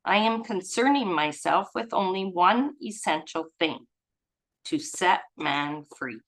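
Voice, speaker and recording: A woman's voice: lowish voice, expressive, slow pace. A woman leading a study group, reading aloud and adding informal, musing, effusive personal commentary in a casual way. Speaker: reader and study group leader.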